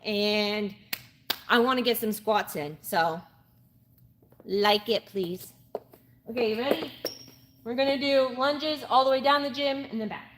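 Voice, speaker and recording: A woman's voice: on the high side, measured pace, pauses often. A woman in her late twenties chatting casually and informally on a live workout stream, often repeating herself. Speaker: livestreamer doing a workout live. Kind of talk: casual livestream chat while exercising. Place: indoor gym. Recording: noisy gym ambience, varying mic distance.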